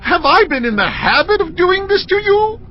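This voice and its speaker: High-pitched, wronged talking donkey. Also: female voice, very animated, moderate pace. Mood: hurt, reproachful. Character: wronged female donkey, pleading and reproachful. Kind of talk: dramatised audio Bible acting. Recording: dull audio with music bed.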